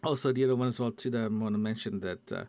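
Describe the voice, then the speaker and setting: Middle aged guy doing a personal audio diary on a little handheld recorder, creaky voice, kinda halting as he thinks out loud. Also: fairly low pitch, no echo. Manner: halting, thinking aloud, repeating words. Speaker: podcast host. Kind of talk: personal audio log, casual solo talk. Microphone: small handheld recorder.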